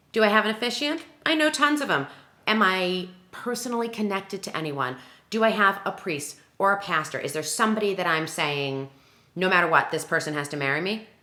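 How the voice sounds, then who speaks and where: Bright-voiced woman in an echoey room. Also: mid-range voice. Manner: emphatic, self-questioning, talks through examples aloud. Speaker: wedding planner presenting a tutorial. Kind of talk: instructional screen-share walkthrough, conversational.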